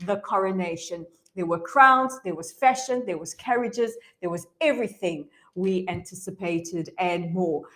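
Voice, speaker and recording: A woman's voice: lowish voice, unhurried, hardly any pauses. Effusive middle-aged woman radio host. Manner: warm, effusive introductions. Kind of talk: radio interview hosting. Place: radio studio. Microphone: studio mic with headphones.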